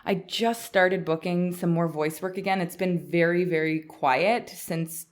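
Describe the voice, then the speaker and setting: A woman with a Canadian accent talks casually as the co-host of a podcast. Her voice sits a little lower than most women's. The delivery is conversational and unscripted. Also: fairly even intonation, a little slow.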